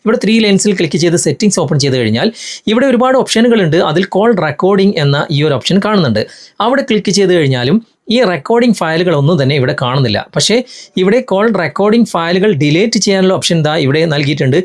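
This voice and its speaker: A man's voice: sits high, expressive, fast talker. A man with a Malayali accent hosting a tech tutorial, explaining phone steps in an instructional, step-by-step way straight to viewers.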